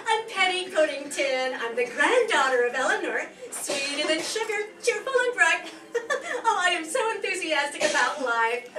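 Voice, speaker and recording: An actress playing a naive, sugary, gushing young granddaughter in a radio soap opera parody. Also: female voice, high voice, animated, moderate pace, crowd noise. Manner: gushing, enthusiastic. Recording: distant echoey stage, faint noise.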